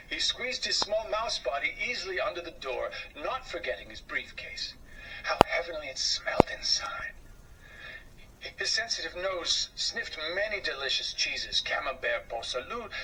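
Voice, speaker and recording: A man reading a children's story aloud as host, with an expressive, theatrical, drawn-out delivery. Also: high voice. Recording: slightly distant mic, faint noise.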